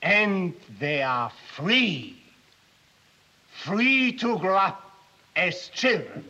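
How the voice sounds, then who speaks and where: Dignified older émigré leader in a wartime film: slow, earnest, husky, dull vintage soundtrack. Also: male voice, high-pitched, very animated, pushed and bright, heavily acted. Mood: impassioned. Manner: deliberate, impassioned, dignified. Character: principled older German émigré community leader in Canada. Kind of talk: earnest speech in a 1940s film drama.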